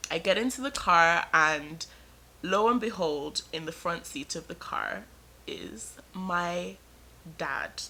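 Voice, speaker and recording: A young adult woman vlogger telling a personal story in an animated, dramatic way and drawing it out for suspense. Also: on the low side, slow. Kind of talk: storytime narration.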